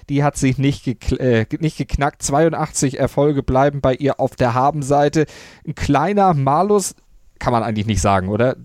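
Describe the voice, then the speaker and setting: A man's voice: medium pitch, a little mellow, no echo, studio quality. Fluent male sports podcast host. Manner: fluent, presenter-like.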